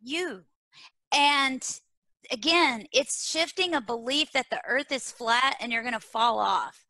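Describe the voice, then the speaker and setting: A woman's voice: sits high, unhurried, pushed and bright, no room sound. A woman with a Texas accent, speaking earnestly as a guest on leadership and repeating her key phrases for emphasis. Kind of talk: conversational interview.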